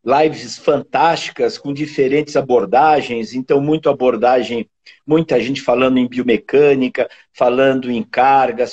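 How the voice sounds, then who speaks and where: A man's voice: mid-range voice, a little mellow. An older man from the São Paulo countryside with a caipira Brazilian accent, hosting a casual fitness live stream and chatting about viewers' towns. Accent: São Paulo countryside caipira Brazilian Portuguese. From countryside of São Paulo state, Brazil. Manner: chatty, reads out viewers' towns, many tag questions. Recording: muffled husky online call audio.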